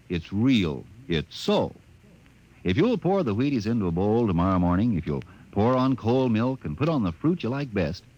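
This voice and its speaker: A man's voice: medium pitch, a little mellow. A male announcer reading a 1950s radio commercial in a folksy, persuasive way. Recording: muffled old radio recording.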